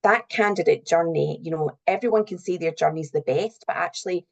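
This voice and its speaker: A woman's voice: fairly low pitch, brisk, vocal fry, highs cut off. A middle-aged woman giving earnest, explanatory answers as a guest in a conversational interview. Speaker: interview guest, recruitment and HR professional.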